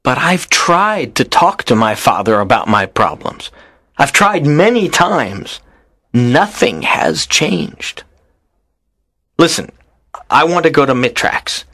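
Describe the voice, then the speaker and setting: Man playing an earnest, lonely teenage boy, expressive. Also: medium pitch, takes it slowly, dry recording, clean studio sound, heavily acted. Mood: earnest, determined. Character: lonely, earnest teenage schoolboy, unhappy but determined dreamer. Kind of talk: dramatised story dialogue.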